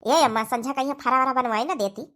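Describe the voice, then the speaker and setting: High-voiced chatty Awadhi village daughter by a woman. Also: steady tone, fairly quick, fairly bright tone. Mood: chatty, wheedling. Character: chatty married daughter visiting her village mother. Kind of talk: Awadhi comedy cartoon dialogue.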